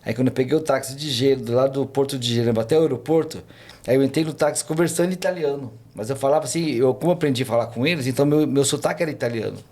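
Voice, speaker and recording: A man's voice: medium pitch, very fast, a little mellow. A man, a podcast guest telling travel stories in a rambling casual way, full of fillers and restarts. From Brazil. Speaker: podcast guest, tour guide. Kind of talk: casual chat, storytelling.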